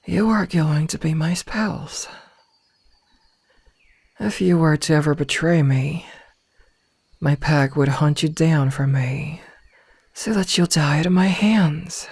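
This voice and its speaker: A woman playing a possessive, menacingly loving female werewolf in an ASMR roleplay, speaking softly and coldly, often slipping into a whisper. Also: low voice, husky, dry recording, heavily acted. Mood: possessive, resolute, then threatening. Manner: cold, callous, deliberate word delivery. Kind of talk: ASMR roleplay, slow intimate delivery.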